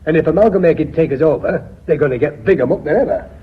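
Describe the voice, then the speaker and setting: An actor playing a plain-spoken, earnest company director in a radio drama, with a homely manner. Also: male voice, fairly high pitch, quick pace, husky. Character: plain-spoken, hesitant works man on the board. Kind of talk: BBC radio drama acting. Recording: dull old radio recording.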